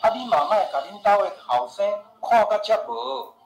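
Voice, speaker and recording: Earnest Taiwanese shopkeeper in echoey room. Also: male voice, high-pitched, expressive, normal speed, vocal fry. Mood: insistent, persuading. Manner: persuasive, plain-spoken, insistent. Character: earnest, insistent male pharmacy owner and worried homeowner. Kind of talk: radio drama acting. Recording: roomy echo with music behind.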